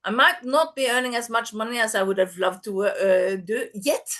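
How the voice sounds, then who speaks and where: A woman's voice: on the high side, fairly even intonation, moderate pace, a bit bright. A woman, presenting an online workshop over a video call in an upbeat, chatty way. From Norway. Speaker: masterclass presenter.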